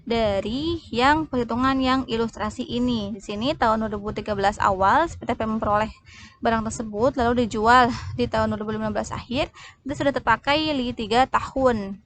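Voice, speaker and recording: A woman's voice: on the high side, fairly quick, slight background noise. A woman explaining as an accounting tutor in an informal, conversational way, often posing rhetorical questions.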